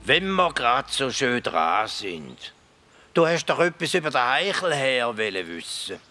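A man playing an eccentric, cryptic forest spirit in a children's radio play, with a Swiss German accent and a gravelly voice. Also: medium pitch, very animated, bright, forceful tone, faint music behind. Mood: musing, playful. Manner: slow, drawn-out, cryptic.

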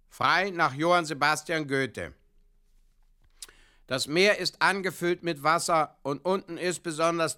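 Tipsy poet for a radio comedy, kinda high, very declamatory and mock serious. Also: male voice, bright, forceful tone, smooth. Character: pompous, tipsy male amateur poet. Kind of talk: comic poetry recitation in a radio play.

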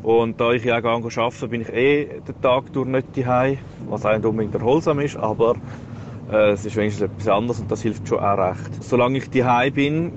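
A man's voice: mid-range voice, fairly even intonation, a little fast. A man with a Swiss German accent giving a casual personal account as a father. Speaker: father sharing experience. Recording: dull, noisy outdoor recording with traffic.

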